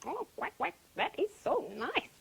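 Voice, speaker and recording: A woman plays a fussing, kind-hearted little duck from a children's story. He is high-pitched and very animated, with quacks scattered between short, exclaiming phrases. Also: measured pace, creaky, heavily acted. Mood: delighted. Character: friendly, helpful small male duck, fussing and kindly.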